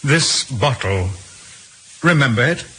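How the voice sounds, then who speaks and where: An actor playing a formal, guarded doctor and husband in an old radio drama, speaking with careful hesitation. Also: male voice, medium pitch, animated, takes it slowly, bright, forceful tone, slight background noise. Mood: grave. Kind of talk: 1940s-50s radio acting.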